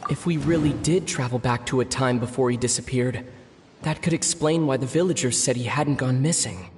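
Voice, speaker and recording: A man's voice: mid-range voice, normal speed, darkish tone. Streamer guy reading game lines, faint hiss, clicks. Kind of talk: reading game dialogue aloud.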